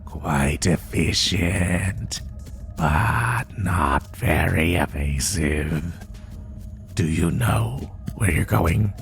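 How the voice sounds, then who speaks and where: Man acting as a sadistic monster hunter, rock-bottom pitch, very slow delivery, breathy half-whisper, menacing taunts, horror roleplay, music behind. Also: full character voice. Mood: gloating. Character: sadistic male spider monster hunting prey through the woods. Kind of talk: horror roleplay audio, addressing the listener.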